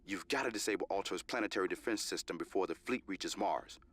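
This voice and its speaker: Very deep-voiced grave game ally. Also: male voice, fast, a bit bright, a little hiss or hum. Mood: grim, urgent. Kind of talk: video game voice acting.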